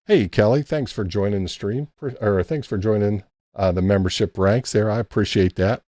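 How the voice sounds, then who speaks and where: A man's voice: lowish voice, moderate pace, warm tone, dry recording, studio quality. A man hosting a casual late-night ham radio live stream, rambling easily and thanking chat members by name.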